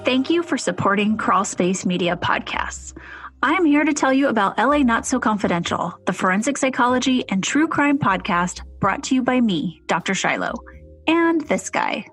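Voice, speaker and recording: Husky, higher-pitched woman promoting a podcast. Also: big pitch swings, normal speed, fairly bright tone, faint music behind. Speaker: podcast co-host.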